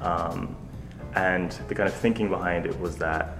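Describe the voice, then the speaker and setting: A man's voice: low voice, steady tone, moderate pace, echoey room, over music. A man with an Irish accent co-hosting a radio show, chatting casually with many fillers. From Ireland. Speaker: radio co-host.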